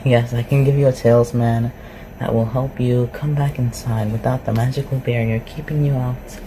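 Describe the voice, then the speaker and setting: An awkward, inquisitive shapeshifter living as a forest recluse, acted out by a performer for a fantasy roleplay ASMR. The voice sits at a medium pitch and rambles through hesitant questions. Noticeable noise runs under the recording. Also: steady tone, moderate pace, close to an everyday voice. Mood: helpful, awkward. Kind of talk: roleplay ASMR audio acting. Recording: clearly noisy home recording.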